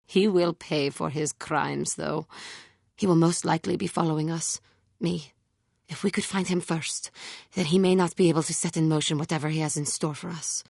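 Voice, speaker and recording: A brooding female alien warrior from a video game, with a low, husky voice recorded close and dry. She speaks in solemn, formal, measured phrases, earnest and full of self-doubt. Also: steady tone, darkish tone, no echo. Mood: resolute. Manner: formal, solemn, measured speech without contractions. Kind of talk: video game character acting.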